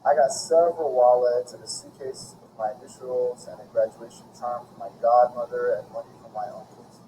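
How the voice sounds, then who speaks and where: A man reading a story aloud expressively, with deliberate, animated phrasing and dramatic pauses. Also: medium pitch, poor audio. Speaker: reader. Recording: faint background noise.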